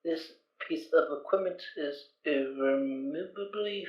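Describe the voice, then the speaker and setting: A man playing a formal, literal-minded robotic device in a fan audio drama, talking in clipped, monotone machine phrases. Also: a little high, unhurried, roomy sound, highs cut off, close to an everyday voice.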